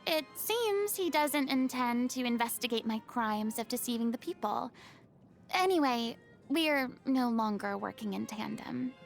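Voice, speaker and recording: A proud young former star of the stage in a video game. Her voice sits high, and she speaks theatrically, vain and boastful yet easily flustered and vulnerable underneath. Faint music plays behind her. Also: animated, measured pace, gravelly. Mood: subdued. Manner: theatrical, boastful, prone to sighs and flustered hesitation. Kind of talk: video game character monologue.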